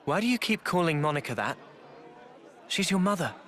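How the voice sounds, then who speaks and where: An actor playing a gentle, polite, concerned young hero in a video game, soft-spoken and considerate. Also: male voice, a little high, sing-song, moderate pace, slight background noise. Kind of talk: video game cutscene acting.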